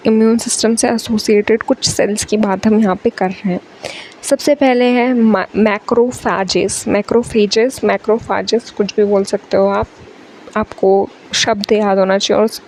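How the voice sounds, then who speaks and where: A young woman with a sore throat, teaching a chatty exam-prep biology lecture, switching between Hindi and English and slowing down to read English lines. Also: mid-range voice, a little mellow, slight background noise, poor audio.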